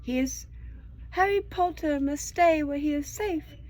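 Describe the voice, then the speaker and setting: A woman's voice: high voice, unhurried, a little mellow, voice pushed up. A woman voicing an anxious, devoted little male house elf servant from a storybook, pleading and reverent. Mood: urgent warning. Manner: reverent, pleading, refers to himself in third person. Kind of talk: storybook character voice.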